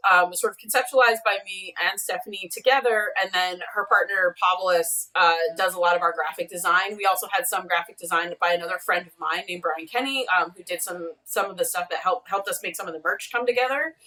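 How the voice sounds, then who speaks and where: A woman with a bright voice at an average pitch talks casually in an interview. She is chatty and explanatory, heard from slightly off the mic on a video call. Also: far from the mic, poor audio. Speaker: podcast creator and showrunner, interviewed guest.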